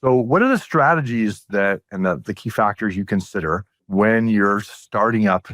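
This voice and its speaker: A man hosting an interview podcast, asking questions in a relaxed conversational way with emphatic asides. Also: on the low side, moderate pace, no echo, studio quality. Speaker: podcast host.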